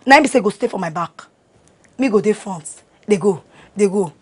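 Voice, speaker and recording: A woman's voice: medium pitch, a little fast, breathy edge. An actress playing a boastful young woman bound for university, speaking Nigerian Pidgin with a bragging, swaggering manner. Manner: bragging, swaggering, animated storytelling.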